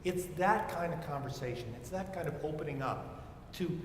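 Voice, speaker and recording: An older man speaking reflectively as a psychotherapist on a discussion panel. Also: moderate pace. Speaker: panelist, psychotherapist and former chaplain. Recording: echoey hall, rumbling background noise.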